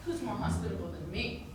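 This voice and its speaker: A woman's voice: mid-range voice, lively intonation, a little slow, far from the mic, close to an everyday voice. A woman playing a shameless, saucy streetwalker in a stage comedy, flippant and full of innuendo. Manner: suggestive, flippant. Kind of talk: musical comedy stage acting. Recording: echoey hall recorded from afar, noisy.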